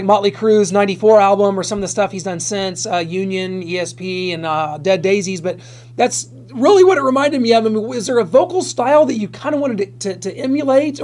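A man's voice: sits high, darkish tone. A man interviewing a musician, asking long, winding and enthusiastic questions. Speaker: radio interviewer.

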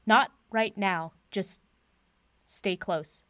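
An actress playing a confident, brisk woman professor with a dry wit in a naturalistic audio drama. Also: medium pitch, fairly bright tone, no echo. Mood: urgent, focused. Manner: brisk, wry, decisive.

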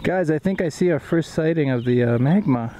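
A man's voice: a little high, brisk. A young man talking casually to a handheld camera outdoors as a travel vlogger. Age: young adult. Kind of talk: casual vlog talk. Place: outdoors on a windy mountainside. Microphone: handheld camera at arm's length. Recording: noisy outdoor camera audio.

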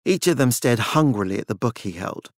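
A man's voice: medium pitch, expressive, fairly quick, dry recording, clean studio sound. A man narrating a dramatic work of fiction as an audiobook.

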